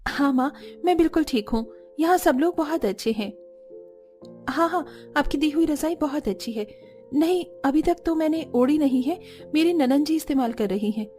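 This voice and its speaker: A woman's voice: high-pitched, moderate pace, mellow, soft background music, close to an everyday voice. A woman voicing a long-suffering young daughter-in-law in a cartoon, plaintive and polite. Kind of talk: Hindi cartoon dialogue acting.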